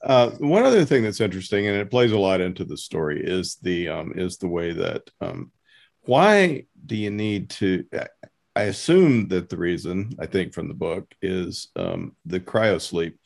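A man with a deep voice and plenty of fry hosts a casual interview. He talks informally, working his questions out as he speaks. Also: big pitch swings, moderate pace, darkish tone. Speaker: interview host.

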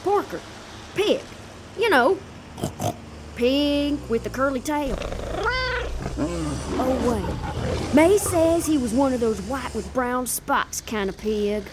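An actress playing a cheeky, streetwise young informant in a 1930s noir audio drama, slangy, offhand and unbothered. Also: female voice, high-pitched, big pitch swings, slow, long pauses, a little hiss or hum, full character voice. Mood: breezy, cheeky. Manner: slangy, casual, unbothered wisecracking.

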